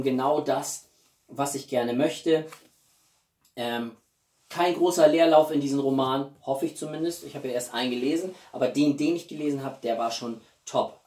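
A man in his forties chatting casually as a video blogger, with halting speech and enthusiastic asides. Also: mid-range voice, a little mellow. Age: 40s. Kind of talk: casual chatty vlog presenting gifts. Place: small living room, indoors. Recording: roomy echo, distant microphone.

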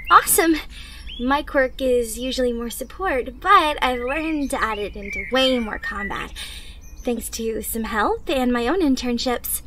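Woman voicing a high-pitched, bubbly teen hero girl. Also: expressive, measured pace, noisy background. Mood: excited, then friendly, coaching. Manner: bubbly, instructional, talking directly to the listener. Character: upbeat, encouraging teenage girl superhero trainee, eager to teach. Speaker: audio roleplay performer. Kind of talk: anime fan ASMR roleplay acting.

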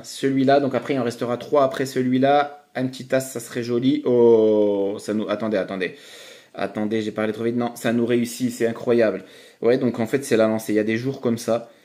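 A man commenting on his online poker hands for viewers in a chatty, excitable way. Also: mid-range voice. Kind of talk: casual live commentary while playing.

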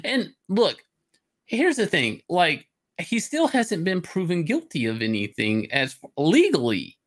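A man's voice: high voice, wildly swooping pitch, measured pace, a bit bright. Man ranting casually on a livestream. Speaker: stream host. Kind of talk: casual opinionated rant on a livestream. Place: indoor room, livestream on webcam.

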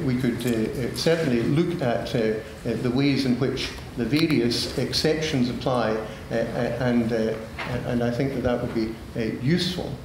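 An older man speaking on a policy panel in a large formal room, halting and measured with many filler sounds. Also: medium pitch. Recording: echoey hall, room noise.

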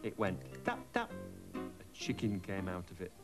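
Lively British man telling children's stories. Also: low voice, normal speed, over music. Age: middle-aged. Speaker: children's TV presenter and storyteller. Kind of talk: gentle scripted storytelling to young children.

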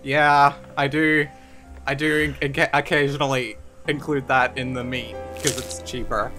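A man's voice: mid-range voice, flat delivery, a little slow, music in the background, lightly acted. A man voicing a brash, crude, bullying meat-selling crime boss in an improvised comedy fan dub, rambling and swearing. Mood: smug, menacing.

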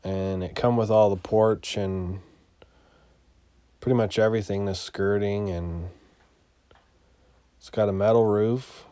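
Low voiced guy, kinda mellow and dry sound, showing a place around, matter of fact, halting. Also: steady tone, clear, resonant tone, no echo. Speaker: landlord giving a property walkthrough. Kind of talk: casual unscripted walkthrough narration. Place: inside an empty mobile home, starting on the porch.

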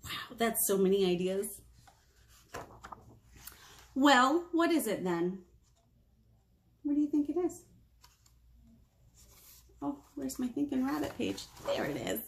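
Slow, animated woman reading to children. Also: sits high, vocal fry, a little hiss or hum. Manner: animated, sing-song, with whispers and gasps. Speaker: children's librarian. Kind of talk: storytime read-aloud for children. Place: small room indoors.

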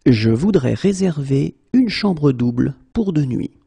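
A man who is a native French teacher, slowly drilling short phrases, saying each one whole and then word by word. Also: on the high side, lively intonation, vocal fry, mellow, breathy edge. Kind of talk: slow phrase-by-phrase language drill.

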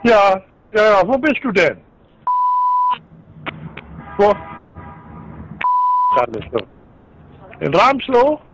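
A man's voice: high voice, sing-song, very slow, pauses often, noisy background. Easygoing man over speakerphone. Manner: easygoing, playing along. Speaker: prank call victim. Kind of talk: phone conversation.

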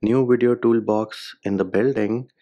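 A man's voice: lowish voice, fairly even intonation, unhurried, warm tone. A man hosting a tech tutorial, talking through a phone demo step by step in an informal, slangy way. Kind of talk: casual how-to narration over a phone demo.

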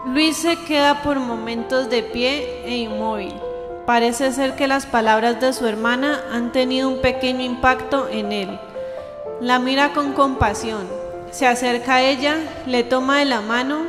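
High-pitched young woman narrating radio drama. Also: steady tone, a little slow, music in the background. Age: young adult. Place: indoor green-screen studio. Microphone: handheld dynamic microphone. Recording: reverberant hall-like echo.

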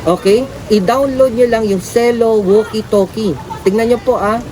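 A woman's voice: fairly high pitch, moderate pace, warm tone, slight background noise, low-quality recording. A woman with a Filipino accent politely explaining a product to viewers in an informal way, often posing rhetorical questions. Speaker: presenter.

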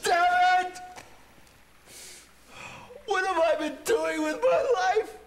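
An actor playing an anguished, desperate grieving man in a video game, crying and shouting melodramatically. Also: very high voice, slow pace.

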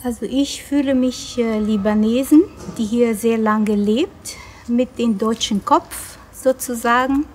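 A middle-aged woman and school director speaking in an outdoor interview, measured and often searching for words. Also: fairly high pitch, fairly even intonation, a little mellow, slight background noise. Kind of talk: documentary interview. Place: outdoors in a park.

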